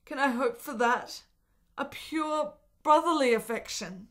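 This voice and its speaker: A woman's voice: high-pitched, expressive, measured pace. An actress playing a frail, lovesick, melancholy woman in a period radio drama, speaking in earnest, melodramatic, formal phrases. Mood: pleading.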